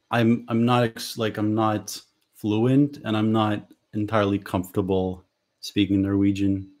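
Soft-spoken young man with Lithuanian roots. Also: fairly low pitch. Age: young adult. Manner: hesitant, many ums and restarts. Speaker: author hosting a livestream. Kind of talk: casual livestream chat. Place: small bedroom at home, indoors. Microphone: headset.

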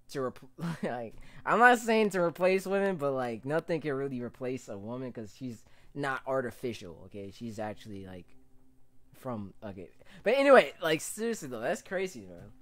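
A young American man hosts a casual reaction video from a small bedroom. His pitch swings widely as he comments, chatting loosely into a close desk mic. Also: fairly bright tone. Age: young adult. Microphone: close desk microphone with pop filter.

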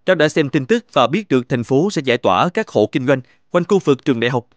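A man's voice: medium pitch, lively intonation, fast talker, no room sound, lightly acted. An actor playing a grateful, successful young businessman in a cartoon, polite and sincere. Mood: earnest.

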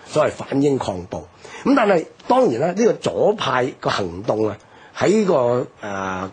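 A man's voice: mid-range voice, darkish tone, slightly rough. An older man with a Hong Kong accent, recounting history in an emphatic, explanatory way on a radio talk.

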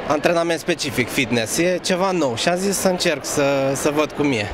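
A man's voice: a little high, expressive, background noise. Hesitant man in his thirties. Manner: hesitant, plain. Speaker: event participant. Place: indoor mall. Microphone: handheld mic held by interviewer.

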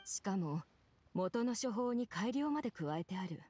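Woman acting a grave, expressive female physician. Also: mid-range voice, a little slow, slight background noise, natural voice. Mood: serious, probing. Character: grave, worried woman physician, concerned and perceptive. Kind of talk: dramatic Japanese game voice acting.

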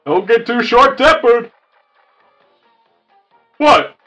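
Man voicing a high-pitched, good-natured cartoon wolf. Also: very animated, very slow, pushed and bright, full character voice. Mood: teasing. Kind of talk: improvised cartoon roleplay. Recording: slightly dull lo-fi mic, music behind.

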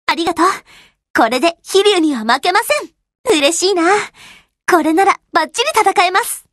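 Very high-pitched perky anime girl. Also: animated, moderate pace, a bit bright, dry recording, studio quality. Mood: cheerful. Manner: girlish, perky, sweetly familiar. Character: cheerful, earnest young anime warship girl, devoted secretary. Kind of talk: Japanese game voice acting, short scripted lines.

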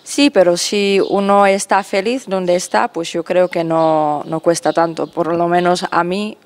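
Novice in her 30s, chill and friendly, handheld mic, on the low side. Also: steady tone, a little slow. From Czech Republic. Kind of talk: interview answers. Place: cloister garden outdoors.

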